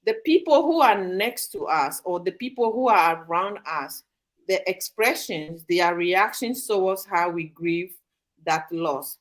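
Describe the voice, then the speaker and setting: A woman hosting a live online talk show, speaking in a measured way with frequent pauses and fillers. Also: lowish voice, expressive. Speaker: host. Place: studio or home in Sydney, Australia.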